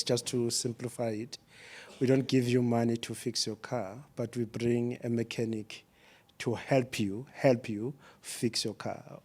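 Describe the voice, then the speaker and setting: A man with a South African accent talks on a conference panel in a mid-range voice. He explains step by step in a measured way and often pauses on "uh" to find his words. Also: mid-range voice, dark tone. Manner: measured, explanatory, frequent uh fillers. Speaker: development program panelist. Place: symposium panel on stage. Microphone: microphone at a panel.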